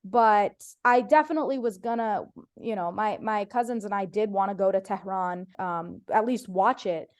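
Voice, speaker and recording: A woman's voice: mid-range voice, normal speed, few pauses. A woman comedian from Ohio, whose first language was Farsi, chatting casually as a podcast guest, telling stories with playful voiced quotes. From United States (Ohio).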